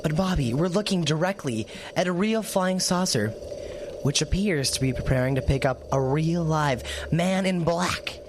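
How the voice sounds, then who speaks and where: A man's voice: fairly high pitch, lively intonation, normal speed, background noise, lightly acted. Sarcastic teenage boy, acted by a man. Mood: excited, sarcastic. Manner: sarcastic, teasing. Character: teenage boy twin, sarcastic, imaginative, teasing brother. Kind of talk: radio drama acting.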